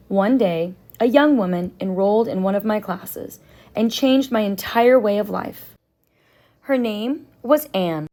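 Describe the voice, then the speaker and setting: A woman voicing a quiet, reserved, sorrowful older male professor in a children's novel, hesitant and reflective. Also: fairly high pitch. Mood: wistful.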